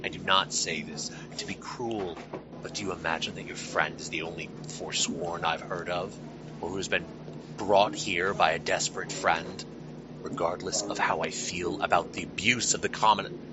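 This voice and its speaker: A man playing a weary, haunted fae lord in a fantasy audio drama, speaking formally and mournfully with halting, measured phrasing. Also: sits low, heavy background noise, close to an everyday voice.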